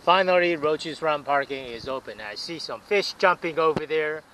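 A man narrating casually while fishing from a kayak outdoors, halting with many fillers and restarts. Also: on the high side, pushed and bright, poor audio. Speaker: fishing vlogger. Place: outdoors on a kayak on open water. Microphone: action camera mounted on the kayak. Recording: outdoor noise on action camera.

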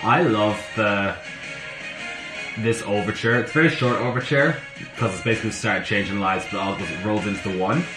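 A slightly hoarse young man chatting excitably to camera in his bedroom, talking to himself as he reacts to music. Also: fairly low pitch, normal speed. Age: young adult. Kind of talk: casual reaction chat. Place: bedroom at home, indoors. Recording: roomy bedroom with faint music. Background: soundtrack music playing faintly.

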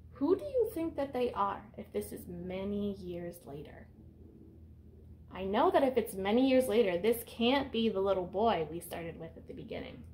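A woman's voice: medium pitch, a little mellow, slight background noise. A woman in her thirties reading a picture book aloud as a teacher, explaining simply and putting questions to young children. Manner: instructive, simple phrasing aimed at young children. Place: small room indoors.

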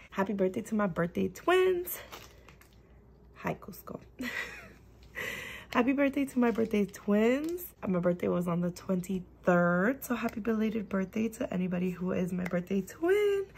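A woman in her thirties hosting a casual budgeting vlog at home, bubbly and gushing, her voice slightly hoarse from a lingering cough. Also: medium pitch, big pitch swings, moderate pace, darkish tone. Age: 30s. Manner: bubbly, gushing, repeats herself. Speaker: budgeting channel host. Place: at home, at a table.

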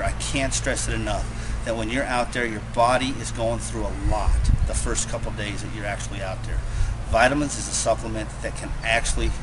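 Man, middle pitch, matter-of-fact how-to host, self-correcting, outdoor recording, clear road noise behind. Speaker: host showing survival gear. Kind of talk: unscripted how-to talk to camera.

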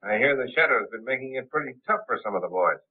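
Hard-nosed club boss, husky, terse, suspicious; boxy 1930s movie soundtrack. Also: male voice, mid-range voice, expressive, fast talker. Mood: menacing. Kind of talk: 1930s film acting. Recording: muffled, tinny 1930s film soundtrack.

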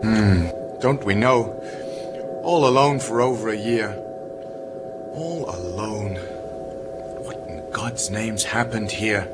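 An actor playing a grave, troubled moon base captain in a radio drama, with a deliberate, commanding manner. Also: male voice, fairly low pitch, over loud music. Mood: brooding.

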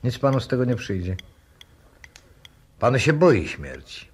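An actor playing a grim, fatalistic professor in a 1960s radio drama, deliberate and ominous, moving between hushed and forceful delivery. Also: male voice, mid-range voice, sing-song, husky. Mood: grim, challenging. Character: grim, fatalistic male professor calmly awaiting a deadly explosion. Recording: noisy old radio drama recording.

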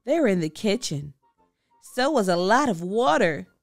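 A woman reading a children's book aloud with expressive, deliberate storytelling. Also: mid-range voice, vocal fry, no room sound, clean studio sound, faint music behind. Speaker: storybook reader. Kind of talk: children's read-aloud narration.

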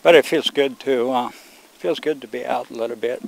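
A man's voice: mid-range voice, fairly quick, vocal fry, slight background noise, rough recording. An older man from Sweden, strained as he loses his voice, chatting casually to viewers while walking a rural road and filming on a handheld camera. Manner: relaxed, rambling, talks to viewers while walking. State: losing his voice. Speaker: vlogger. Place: outdoors on a rural road among rice fields. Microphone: handheld camera on a selfie stick.

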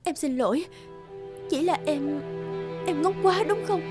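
A woman playing a gentle, emotional single mother and psychologist in a cartoon drama. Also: high voice, lively intonation, a little slow. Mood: hurt. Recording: background music under the voice.

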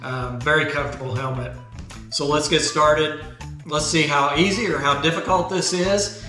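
A man in his fifties hosting a motorcycle vlog channel, explaining a helmet setup in a relaxed, chatty, self-deprecating way at a desk indoors. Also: fairly high pitch, moderate pace, roomy sound, soft background music. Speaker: motovlog channel host. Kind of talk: casual gear tutorial. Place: indoor garage studio.

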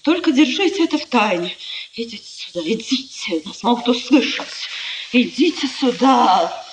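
An actress playing a proud, bitterly mocking, agitated countess in a 1950s radio play, with theatrical, taunting emphasis. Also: female voice, fairly high pitch, animated, normal speed, natural voice. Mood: conspiratorial. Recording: echoey, noisy 1950s radio recording.

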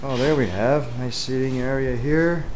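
A man hosting a casual walk-through tour of a waterfront restaurant and bar, talking into a handheld camera. He sounds friendly and admiring, with plenty of fillers. Clear outdoor noise sits under his voice. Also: mid-range voice, fairly even intonation, unhurried, a little mellow, rough recording. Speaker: tour host filming a restaurant.

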